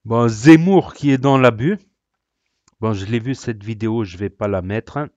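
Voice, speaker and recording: A man's voice: medium pitch, measured pace, a little mellow, dry recording, muffled recording. A man hosting a reaction video, sarcastic and rambling, with many hesitations. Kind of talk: casual reaction commentary.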